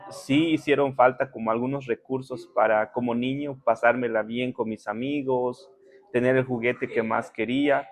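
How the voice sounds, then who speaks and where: A young man from Guatemala whose first language is K'iche', a podcast guest telling his life story humbly and thankfully, with many pauses. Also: medium pitch. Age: young adult. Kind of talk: conversational interview.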